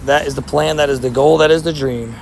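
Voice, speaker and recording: Slightly hoarse man live-streaming by traffic. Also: fairly high pitch, fairly even intonation, brisk. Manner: chatty, reads out and answers chat. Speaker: livestream host. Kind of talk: casual live-stream chat. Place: outdoors by a street with traffic.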